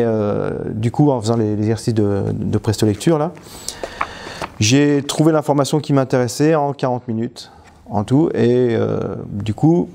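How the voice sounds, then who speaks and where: A man's voice: on the low side, vocal fry, dark tone. A man, a trainer and stress coach, giving a spontaneous testimonial, hesitant, restarting phrases and trailing off with filler words. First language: French.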